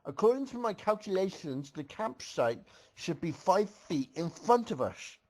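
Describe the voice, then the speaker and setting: A man voicing a rude, short-tempered pony mare, snappy and loud, in an amateur comic fan fiction reading. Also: high voice, sing-song, measured pace, voice pushed up, exaggerated voice. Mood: matter-of-fact. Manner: yelling, snappy.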